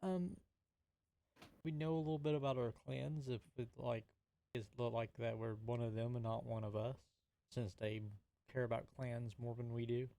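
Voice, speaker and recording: A man playing a tabletop roleplaying game, talking casually and haltingly as he feels out his questions. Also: medium pitch, steady tone, creaky, dark tone.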